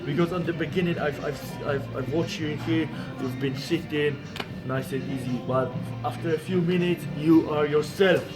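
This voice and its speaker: A man with a Polish accent chatting casually and agreeably in halting, non-native phrasing. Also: on the high side, low-quality recording. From Poland. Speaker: personal trainer, interviewer. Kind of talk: casual chat. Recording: loud music behind the talk. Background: loud instrumental music.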